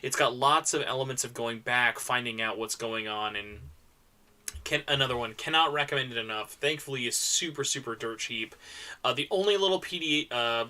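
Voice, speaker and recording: A man's voice: mid-range voice, normal speed, pushed and bright. A man giving an enthusiastic, informal panel talk full of recommendations, stressing points by repeating words and using casual slang. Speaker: convention panel presenter.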